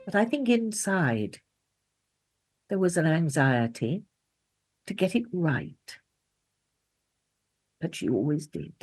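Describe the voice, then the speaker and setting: A woman's voice: deep voice, big pitch swings, takes it slowly, long pauses, darkish tone, husky. A woman giving a reflective tribute in an interview.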